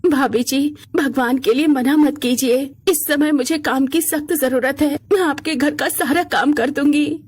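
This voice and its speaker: A woman's voice: high-pitched, measured pace, hardly any pauses, a little mellow. A woman voicing a poor, devoted young mother in a cartoon story, earnest and pleading.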